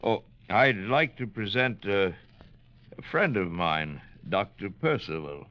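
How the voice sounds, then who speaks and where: A man's voice: lowish voice, lively intonation, takes it slowly, bright, forceful tone, full character voice. An actor playing a dying old ship captain in a 1940s radio play, talking in a hesitant, fatherly way. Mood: weary. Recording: lo-fi vintage radio broadcast.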